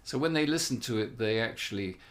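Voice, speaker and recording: A man's voice: medium pitch, lively intonation, a little fast. A man giving an earnest, explanatory spiritual talk as an author presenting his own book. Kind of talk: spiritual talk with passages read aloud.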